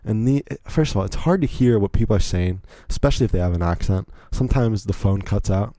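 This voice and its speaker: A young American man with a low, deeply mellow voice, chatting his way through a solo podcast story. He rambles and cuts himself off with asides. The sound is dry and close, with no room around it. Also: expressive, fast, no echo. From Virginia, United States. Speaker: podcast host.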